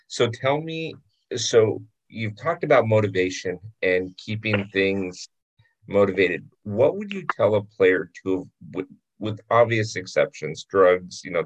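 A man with a fairly low voice, hosting a casual interview. He asks friendly, meandering questions and often restarts mid-sentence. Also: low-quality recording. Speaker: interview host.

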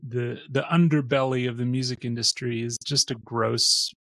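A man in his forties with a gravelly edge to his voice, guesting on a podcast. He rambles and jokes at his own expense, recorded dry and close. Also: mid-range voice, steady tone, moderate pace, fairly bright tone, no echo. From born in Austria, raised in Missouri. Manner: rambling, self-deprecating, laughs often. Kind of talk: casual interview chat.

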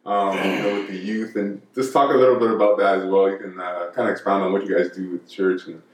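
A man's voice: deep voice, level tone, fast talker. A young man hosting a podcast, asking his guests questions in an earnest, encouraging way. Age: young adult. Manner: earnest, encouraging, repeats words. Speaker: podcast host. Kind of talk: casual podcast interviewing. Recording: roomy room sound.

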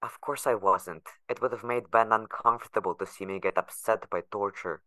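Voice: medium pitch, steady tone, moderate pace, bright, forceful tone, dry recording, natural voice. An actor playing a sardonic, guarded young adult patient held in a facility, narrating in the first person with bitter sarcasm. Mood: bitter, subdued.

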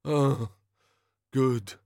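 A man voicing a miserable, hungover minor god who mumbles slowly and groggily, in a storybook reading. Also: medium pitch, a little mellow, no room sound, clean studio sound, voice pushed up. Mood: groggy.

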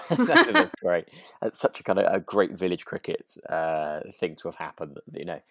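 A British man co-hosting a relaxed podcast, chatting casually and hesitantly, repeating words as he thinks aloud. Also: sits low, moderate pace, no echo, studio quality. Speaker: podcast co-host and actor.

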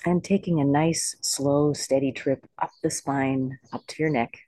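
A meditation teacher leading a guided body scan in slow, unhurried phrases with pauses. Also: medium pitch, fairly even intonation, warm tone, no echo.

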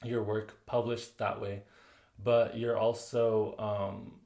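A man's voice: fairly low pitch, little pitch movement, takes it slowly, mellow. A man hosting a casual interview podcast, upbeat and encouraging, with many fillers and small laughs. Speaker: podcast host.

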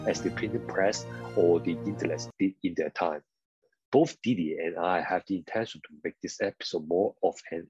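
A man with a husky voice hosting a podcast, introducing a guest and asking questions. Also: low-pitched, muffled recording. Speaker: podcast host. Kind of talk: scripted introduction and interview questions.

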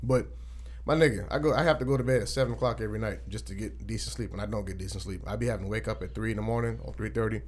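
A man's voice: lowish voice, very fast. A man in his late twenties bantering casually in a slangy, profane, teasing way. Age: late 20s. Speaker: podcast co-host.

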